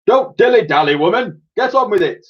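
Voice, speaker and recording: A man voicing a gruff, bossy old sergeant major from a children's storybook, barking out orders. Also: sits high, expressive, brisk, muffled recording, pitched above the performer's own voice. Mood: irate, commanding.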